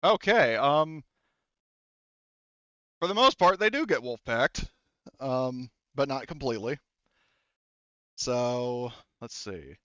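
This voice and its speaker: A man narrates a tabletop game in a clean, smooth voice at an ordinary pitch. He is the game master, talking casually and haltingly with loose, sweary phrasing. The recording is dry and slightly dull. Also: expressive, bright, forceful tone, no echo. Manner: halting, informal, swears freely.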